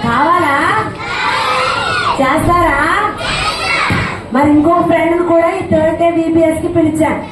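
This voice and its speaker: A woman presents to children at a Bible school, loudly repeating questions to get them to answer. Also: very high voice, fairly even intonation, measured pace, long pauses. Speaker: children's puppet show host. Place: large indoor hall with children. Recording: very echoey noisy hall, distant mic, crowd. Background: crowd of children, loud noise.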